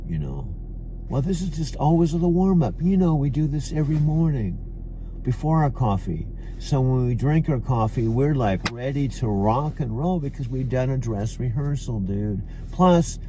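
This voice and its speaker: A man's voice: mid-range voice, dark tone, slight background noise. An older man with a Californian accent, rambling to himself from a parked car and calling himself "dude" with many restarts. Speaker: vlogger. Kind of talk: rambling self-talk monologue.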